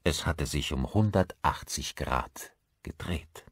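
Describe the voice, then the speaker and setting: A man narrates a horror audiobook in a deep, somewhat raspy voice. His delivery is theatrical and suspenseful, with very lively intonation. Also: slow, dry recording. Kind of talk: dramatic scripted narration of a horror story.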